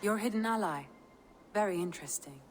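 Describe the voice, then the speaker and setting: A woman's voice: mid-range voice, fairly quick, close to an everyday voice. An actress playing a shrewd, composed Viking woman who advises her clan in a video game. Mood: thoughtful. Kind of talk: dramatic video game acting.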